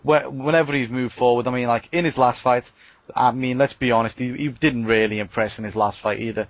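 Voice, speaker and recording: A man's voice: medium pitch, fairly even intonation, fairly quick, no room sound. A man chats casually as a podcast co-host. He hesitates often and leans on fillers. The sound is dry and close to the mic. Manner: hesitant, many fillers like "you know". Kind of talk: casual chat.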